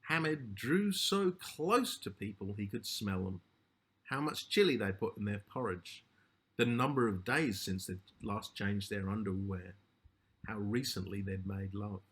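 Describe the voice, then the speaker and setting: A man narrating a literary short story as its author, in measured, deliberate phrases with a slightly husky voice. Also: lowish voice.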